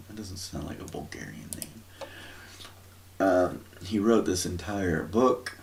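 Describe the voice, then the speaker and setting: A man giving an informal, rambling Bible teaching, thinking aloud and often pausing to search for words. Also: low voice, vocal fry.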